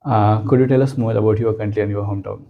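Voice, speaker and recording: A man's voice: fairly low pitch, fast talker, vocal fry, phone-quality sound, slight background noise. A man who is a teacher hosting a YouTube interview, asking short questions and giving frequent mm-hmms. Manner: short prompts and steady mm-hmms.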